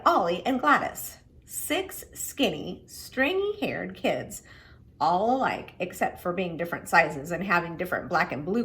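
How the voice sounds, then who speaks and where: A woman narrates a children's storybook with very lively, swooping intonation. Her voice sits at a middling pitch for a woman. She stresses key words dramatically as she reads. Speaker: children's book reader. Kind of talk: expressive storybook read-aloud.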